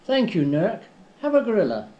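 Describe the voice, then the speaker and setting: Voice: mid-range voice, big pitch swings, normal speed, dull audio, crowd noise, lightly acted. An amateur performer voicing a shifty, mock-polite begging politician in a comic radio-play reading, on old cassette tape. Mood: sly, persuading. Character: shifty, sly begging politician and would-be train robber.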